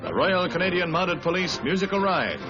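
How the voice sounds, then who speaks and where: A man's voice: sits high, very animated, brisk. An American man reading scripted 1950s newsreel narration in an emphatic, grandiose manner. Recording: dull vintage 1950s newsreel soundtrack. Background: orchestral newsreel music.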